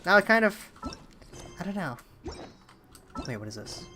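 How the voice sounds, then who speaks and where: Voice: mid-range voice, big pitch swings, normal speed, pushed and bright, slight background noise. A game streamer chatting casually on a livestream, hesitant and rambling while thinking aloud. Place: indoors at a streaming setup.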